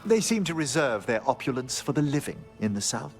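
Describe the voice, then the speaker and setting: An actor playing a courteous, scholarly gentleman necromancer in a fantasy game, with formal, genteel phrasing. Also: medium pitch, big pitch swings, brisk, soft background music. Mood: genteel, musing.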